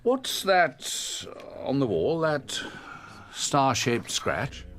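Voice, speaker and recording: An actor playing a genteel, inquisitive English doctor with a polite, hesitant manner in a radio drama. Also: male voice, medium pitch, sing-song, extremely slow, fairly bright tone, full character voice. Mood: probing.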